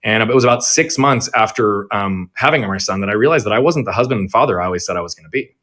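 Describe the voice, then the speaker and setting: A man in his thirties, a performance coach, telling his life story as a guest in an energetic, earnest and self-reflective way over a social audio app. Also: fairly low pitch, quick pace. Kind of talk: conversational storytelling interview. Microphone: remote audio on a social audio app.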